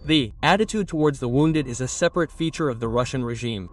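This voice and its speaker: A man's voice: medium pitch, quick pace, recorded from a distance. A man reading scripted political commentary in a sardonic, mocking manner. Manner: sarcastic, mocking. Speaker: narrator of a satirical war commentary video.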